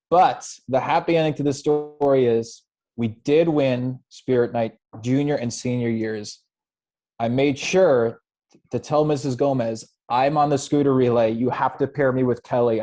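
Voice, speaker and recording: A middle-aged alumnus telling a lively, self-mocking story from his school days. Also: mid-range voice. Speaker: alumnus panelist. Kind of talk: anecdotal storytelling.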